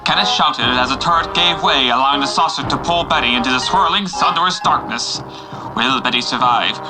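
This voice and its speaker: Guy narrating adventure serial, mid voice, loud score behind him. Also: mid-range voice, fairly quick, rough recording. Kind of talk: dramatic scripted serial narration. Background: loud music.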